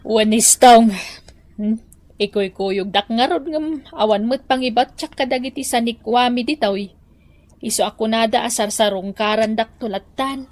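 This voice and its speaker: A woman's voice: on the high side, normal speed, a little mellow, no echo, close to an everyday voice. A woman acting an older, caring but fretful mother in a Filipino radio drama, with an Ilocano accent and a sighing manner. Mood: grumbling.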